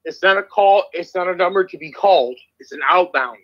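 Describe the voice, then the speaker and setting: A man's voice: high-pitched, brisk, dull audio. A man arguing combatively, swearing and repeating his claims insistently. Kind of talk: heated online argument.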